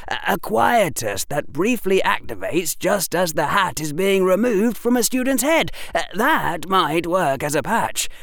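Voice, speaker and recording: A man's voice: sits high, a bit bright, dry recording, studio quality, pitched above the performer's own voice, exaggerated voice. A man voicing a precocious, earnest schoolboy wizard in an audiobook story, articulate and politely matter-of-fact. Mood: matter-of-fact, helpful. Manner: articulate, reasoned, formal for a child. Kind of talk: audiobook character acting.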